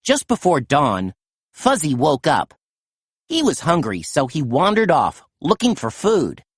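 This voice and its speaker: A woman narrating a children's story as an animated read-aloud storyteller. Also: deep voice, measured pace, breathy edge, no room sound, clean studio sound.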